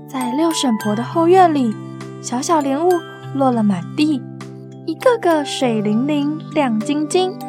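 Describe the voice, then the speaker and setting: A woman reading a children's picture book aloud in a scripted storytelling style. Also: high voice. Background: glockenspiel children's music.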